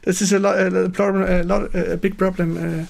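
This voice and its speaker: A man with a German accent hosting a casual livestream interview in halting non-native English, often repeating words and agreeing quickly. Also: high voice, level tone, gravelly. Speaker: stream host interviewing a game developer. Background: music in the background.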